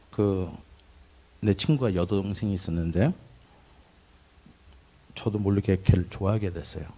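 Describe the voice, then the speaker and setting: A middle-aged man giving a personal testimony, recalling his childhood in a reflective way with pauses and restarts. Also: sits low, dark tone, a little hiss or hum. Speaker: testimony speaker.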